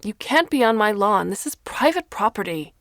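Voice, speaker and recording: A woman's voice: medium pitch, very animated, normal speed, a bit bright, dry recording, studio quality, pitched above the performer's own voice. A woman voicing a desperate, sobbing mother who pleads insistently in an audiobook story. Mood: furious.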